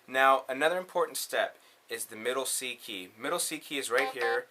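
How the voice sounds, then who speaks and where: A man's voice: medium pitch, moderate pace, bright, forceful tone. A man hosting a casual hands-on tutorial, talking in an informal, slangy way. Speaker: tutorial host.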